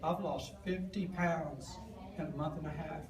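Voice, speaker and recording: A hoarse man in his fifties telling a personal story to a live audience, earnest and plain-spoken, acting out the voices of the people in it. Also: on the high side, fairly even intonation, measured pace, a crowd in the background. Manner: earnest, plain-spoken storyteller who acts out quoted dialogue. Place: event hall with an audience. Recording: echoey, distant, noisy room recording.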